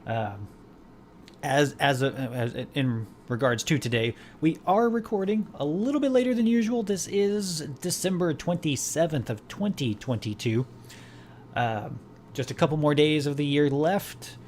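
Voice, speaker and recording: A man's voice: fairly high pitch, lively intonation, measured pace, a little mellow, slight background noise. A man hosting a podcast in casual chat, rambling with many fillers and restarts. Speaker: podcast host.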